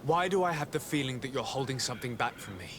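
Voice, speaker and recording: Actor as formal noble envoy, rumbling background. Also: male voice, lively intonation, fast talker, a bit bright, a little hiss or hum, close to an everyday voice. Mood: suspicious, accusing. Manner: formal, probing, authoritative. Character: formal, determined young male noble envoy. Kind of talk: video game cutscene acting.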